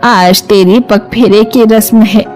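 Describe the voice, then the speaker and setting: A woman's voice: medium pitch, steady tone, slow pace, a little mellow, soft background music, lightly acted. A woman voicing a stern older family matriarch in a Hindi moral story, scolding with authority. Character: stern, commanding older female family elder.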